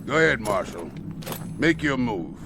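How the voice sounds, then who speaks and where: A gravelly ghost gunslinger. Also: male voice, mid-range voice, sing-song, heavy background noise. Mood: menacing. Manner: slow drawling frontier speech. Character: ghostly gruff male old-west outlaw Jesse. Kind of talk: radio drama acting. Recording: radio drama with music behind.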